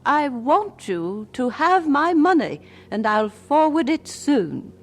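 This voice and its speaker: An actress playing a devout, earnest widow reading out her letters in a measured, old radio drama style. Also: high-pitched, very animated, full character voice. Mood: apologetic, resolute.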